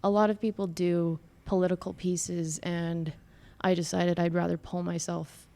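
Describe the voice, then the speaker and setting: A young woman, a graduating student, talking earnestly and quickly in a casual interview. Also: fairly low pitch, flat delivery, mellow.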